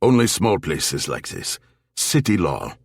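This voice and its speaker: Man voicing a gruff old German barfly, deep-voiced. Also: sing-song, no room sound, clean studio sound, heavily acted. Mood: musing, fatalistic. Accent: thick German. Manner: blunt, halting non-native English. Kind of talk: audiobook character dialogue.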